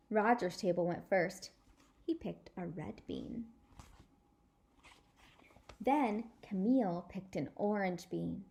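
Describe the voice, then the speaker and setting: Expressive woman reading a children's story aloud. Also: mid-range voice, gravelly. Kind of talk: animated read-aloud narration for children.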